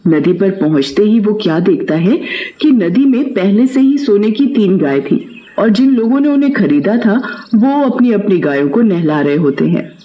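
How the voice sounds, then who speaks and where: A man's voice: sits high, highs cut off. A man reading a scripted children's moral story as its narrator.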